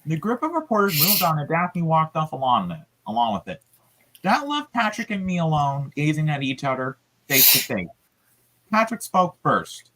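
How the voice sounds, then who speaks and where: Story reader and host: animated, very varied pitch, informal dramatic delivery. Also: moderate pace, rough recording. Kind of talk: storybook read-aloud with casual asides.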